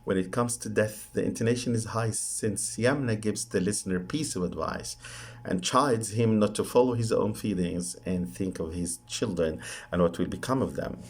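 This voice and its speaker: A man with an Egyptian Arabic accent giving an academic conference presentation in a halting, deliberate way with drawn-out hesitations. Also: fairly low pitch, darkish tone, breathy edge.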